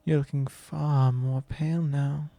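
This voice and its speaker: A man voicing a possessive, commanding male vampire lord in an ASMR roleplay, speaking slow, low and intimate, often in a whisper. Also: flat delivery, vocal fry, mellow, no echo.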